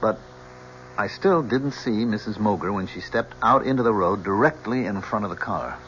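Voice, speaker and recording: An actor in an old-time radio drama playing a polite, composed male driver giving measured, respectful testimony under oath. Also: fairly low pitch, a bit bright, lightly acted. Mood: composed, earnest. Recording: muffled old radio recording, faint noise.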